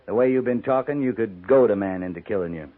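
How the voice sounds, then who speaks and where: Low-voiced, tough cavalry captain, played by a man. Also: fast, phone-quality sound, natural voice. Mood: firm, unflinching. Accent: American. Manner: firm, laconic, commanding. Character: steady, tough, plain-spoken US cavalry captain. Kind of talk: 1950s radio western acting. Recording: band-limited 1950s radio recording.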